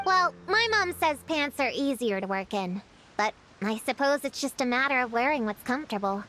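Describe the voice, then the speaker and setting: A tiny, excitable childlike game companion. The voice is extremely high and bouncy, chatty and exclamatory in a cartoonish way, with music clearly behind it. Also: female voice, moderate pace. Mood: curious, chatty. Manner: perky, casual, exclamatory. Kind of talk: cartoonish video game voice acting.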